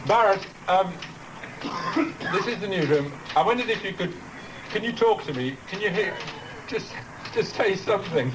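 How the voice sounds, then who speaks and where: Exasperated newsroom guy, pretty high voice, insistent, noisy radio talkback. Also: lively intonation, unhurried, pauses often. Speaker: newsroom staffer. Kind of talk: unscripted urgent off-air talk.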